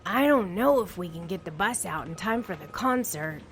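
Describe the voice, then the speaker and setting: A woman's voice: lowish voice, lively intonation, normal speed, faint music behind, close to an everyday voice. A woman voicing an eager, upbeat young male digger pup from a children's storybook. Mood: concerned. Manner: enthusiastic.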